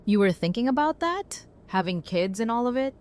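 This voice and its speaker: A woman's voice: on the high side, expressive, normal speed, a little mellow, close to an everyday voice. A woman playing a blunt, battle-worn apocalypse survivor in a naturalistic audio drama. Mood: candid.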